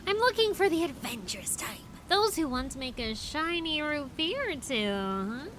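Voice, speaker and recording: A woman's voice: high voice, big pitch swings, moderate pace, a bit bright, slight background noise. A woman playing a mischievous, brash young treasure seeker in an audio drama, talking in a cheeky, colloquial way. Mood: brash, enticing. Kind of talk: fan audio drama acting.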